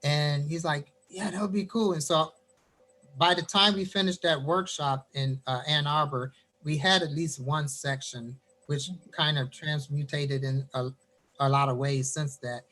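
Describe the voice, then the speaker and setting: A man's voice: on the high side, soft background music, poor audio. A man from Indiana, a poet, reading his poem and then chatting about his writing over a video call. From Gary, Indiana. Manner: animated storyteller, quick bursts. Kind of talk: poetry reading, then casual chat.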